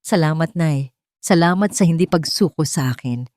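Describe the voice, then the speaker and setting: A woman's voice: low-pitched, normal speed, a little mellow, no room sound, clean studio sound, lightly acted. A woman voicing an affectionate, playful and grateful young bride in an audio romance story.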